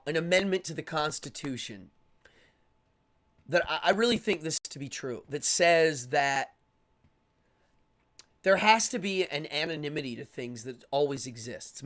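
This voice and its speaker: A man hosting a live stream, talking casually and opinionatedly as he answers chat, rambling and repeating words in quick bursts. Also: on the high side, a bit bright. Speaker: live-stream show host.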